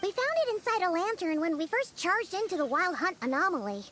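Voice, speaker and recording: A woman voicing a tiny, childlike fairy companion in a video game, perky and curious, with chirpy, informal, squeaky speech. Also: lively intonation, fairly quick, a bit bright, slight background noise. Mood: hesitant. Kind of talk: scripted video game character dialogue. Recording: game audio with background music.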